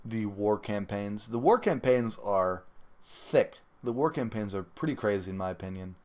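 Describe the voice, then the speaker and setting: A man hosting a casual gaming podcast, talking in a halting, rambling way. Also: lowish voice, animated, a little mellow. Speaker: podcast host. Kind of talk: casual gaming talk show commentary.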